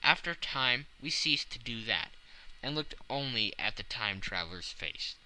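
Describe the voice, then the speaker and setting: A man's voice: medium pitch, expressive, moderate pace, sharp tone, poor audio. A man narrating a classic novel as an audiobook in a dramatic, scripted reading style.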